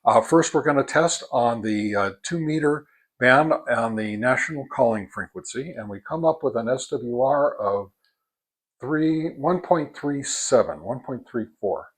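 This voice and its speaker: Older man, American accent, casual review narration, step-by-step, unhurried, medium pitch. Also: expressive, breathy edge, low-quality recording. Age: older, 60s or above. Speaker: host, product reviewer.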